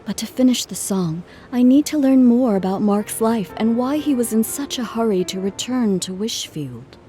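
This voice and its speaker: An actress playing a gentle, earnest traveling musician in a video game, speaking politely and in measured phrases. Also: female voice, medium pitch, natural voice. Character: gentle, earnest female traveling musician, composed but careworn. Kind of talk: video game cutscene acting.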